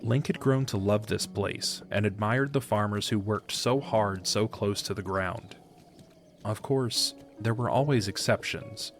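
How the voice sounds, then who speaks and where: Low-voiced man narrating a fantasy story. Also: unhurried, faint music behind. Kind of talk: scripted audio drama narration.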